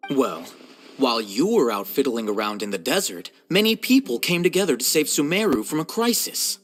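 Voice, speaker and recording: An actor voicing an aloof, intellectual male scholar, calm and measured, with a dry, matter-of-fact manner in a video game. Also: medium pitch, faint music behind, close to an everyday voice. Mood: dry, dismissive.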